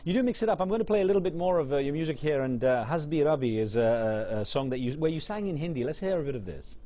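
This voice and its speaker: A man hosting a live call-in interview, moving things along briskly. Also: on the high side, expressive, mellow. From West London, England. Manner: brisk, moves the show along. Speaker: talk show host.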